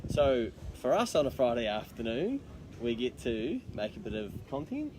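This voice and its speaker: A man's voice: mid-range voice, sing-song, normal speed, noisy background. A man with a New Zealand accent chatting casually to camera as an accountant co-hosting a video, in a matey, slangy way. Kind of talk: casual chat to camera for a live social video.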